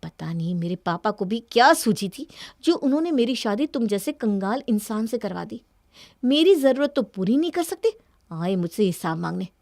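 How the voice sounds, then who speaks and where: A woman's voice: medium pitch, big pitch swings, normal speed, gravelly, darkish tone. A woman voicing a scornful, defiant young wife in a cartoon story, haughty and dismissive.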